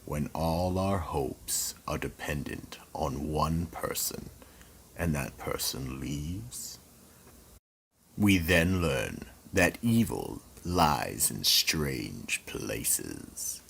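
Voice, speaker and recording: A man hosting and narrating a horror radio drama in a slow, ominous, dramatic way. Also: a bass voice, lively intonation, gravelly, fairly bright tone, slight background noise. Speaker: horror anthology host and narrator.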